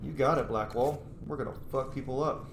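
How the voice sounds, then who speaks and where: A man's voice: mid-range voice, fairly quick. A man hosting a game playthrough with casual, playful, sweary commentary. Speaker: gaming video host. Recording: echoey room, faint noise.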